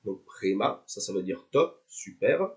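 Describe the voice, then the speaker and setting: Slow, low-voiced French man teaching phrases. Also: lively intonation. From France. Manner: repeats each phrase slowly and deliberately. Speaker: language tutor. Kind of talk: slow, patient language lesson.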